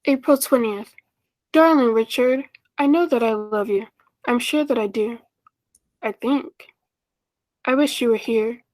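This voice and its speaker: A woman's voice: fairly high pitch, a little slow, long pauses, a little mellow, no room sound, natural voice, low-quality recording. Woman playing a flustered, romantic schoolteacher, close and dry. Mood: tender, wistful. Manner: earnest, flustered, hesitant. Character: anxious, romantic mid-thirties schoolteacher writing love letters. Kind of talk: 1940s radio play acting.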